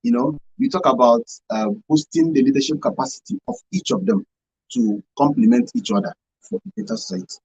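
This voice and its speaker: A Nigerian man speaks as a studio interview guest, explaining things earnestly and emphatically. His fairly high voice swings widely and has a creaky edge, on a dry, slightly dull recording. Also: sing-song, fairly quick, darkish tone, no echo. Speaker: radio show guest, NGO volunteer. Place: radio studio.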